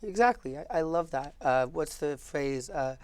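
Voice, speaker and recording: A young man hosting a public affairs interview show, relaxed and loose with fillers and repeated words. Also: fairly high pitch, normal speed, clean tone, dry recording. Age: young adult. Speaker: host.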